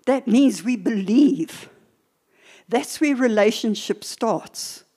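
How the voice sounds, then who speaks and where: Older lady giving a church sermon, takes her time, emphatic, pauses and repeats bits. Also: fairly high pitch, animated, warm tone. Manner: deliberate, emphatic, with short pauses and repetition. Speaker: preacher.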